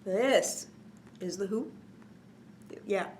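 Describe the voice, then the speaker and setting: A woman hosting a children's story time, reading a picture book aloud with expressive, sing-song emphasis and pausing to explain and ask questions. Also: medium pitch, a little hiss or hum.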